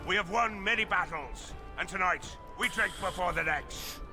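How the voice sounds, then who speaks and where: An actor playing a boastful, crude mercenary drinker in a video game, with a swaggering, rowdy delivery. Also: male voice, high-pitched, normal speed. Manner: swaggering, loud bursts. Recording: game audio with music.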